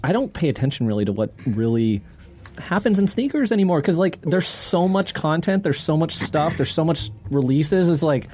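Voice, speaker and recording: A man's voice: fairly high pitch, big pitch swings, moderate pace, darkish tone, breathy edge. A man co-hosting a podcast, giving his opinions in casual conversation. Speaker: podcast co-host.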